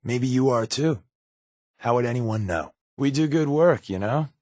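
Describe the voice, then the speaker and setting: Wry undercover agent with lively intonation. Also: male voice, medium pitch, measured pace, no room sound, clean studio sound. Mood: wry, casual. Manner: wry, flippant, sarcastic. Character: wisecracking, guarded male undercover railroad agent companion. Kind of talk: video game companion dialogue.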